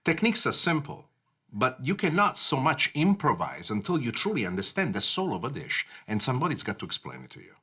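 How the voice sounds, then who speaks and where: A man's voice: medium pitch, fairly quick, breathy edge. Passionate man presenting a cooking show. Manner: passionate, expressive delivery with frequent "uh" fillers. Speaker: TV chef. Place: home kitchen.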